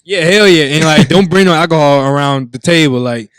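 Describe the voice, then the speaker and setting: A man's voice: a little high, a little fast, smooth, no room sound, studio quality. A man guest who DJs, talking casually on a podcast in an emphatic, profane, repetitive way.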